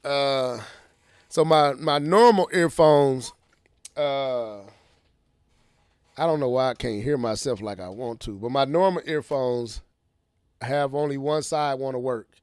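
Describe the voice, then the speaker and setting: A man hosting a casual gaming news stream, sounding stuffy and scratchy from congestion, slangy and quick to laugh. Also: medium pitch, clean tone, no room sound, studio quality. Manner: animated, slangy, laughs often. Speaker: stream host. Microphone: studio earphones with mic.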